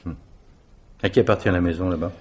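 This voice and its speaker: Guy playing a radio drama cop grilling a suspect, lowish voice, dry and insinuating. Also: fairly even intonation, fast, lightly acted. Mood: skeptical. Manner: dry, insinuating, methodical questioning. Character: dry, skeptical police investigator questioning a suspect. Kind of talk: French radio mystery drama acting.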